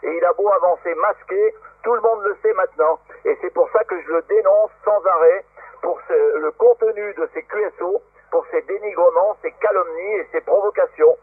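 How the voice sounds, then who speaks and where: High-pitched man over tinny shortwave radio. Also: normal speed, slightly rough. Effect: shortwave radio transmission. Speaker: amateur radio operator. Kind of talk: informal argumentative radio exchange. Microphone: radio transceiver microphone. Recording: narrow, tinny, noisy shortwave radio link.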